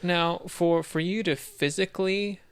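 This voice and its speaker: A man co-hosting a podcast in a casual, halting, tongue-in-cheek way. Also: high-pitched, steady tone, clean tone. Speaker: podcast co-host.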